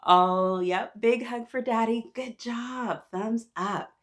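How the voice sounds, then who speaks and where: A woman hosting an interactive storytime, reading aloud to young children in an animated, encouraging way and talking directly to her little listeners. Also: on the high side, slow.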